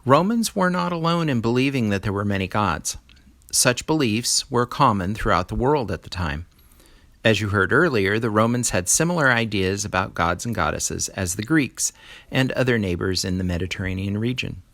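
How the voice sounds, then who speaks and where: A man with a fairly low voice reads an educational lesson aloud in the manner of a teacher. He explains as he goes and puts emphasis on key words. The sound is dry, with little room in it. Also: lively intonation, moderate pace, a bit bright, dry recording. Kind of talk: educational read-aloud narration.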